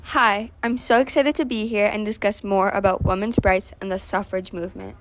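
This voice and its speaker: Woman playing an earnest 1920s suffragist guest, mid-pitched. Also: fairly even intonation, normal speed, background noise, lightly acted. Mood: earnest, pleased. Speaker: guest activist. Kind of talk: scripted amateur 1920s radio interview.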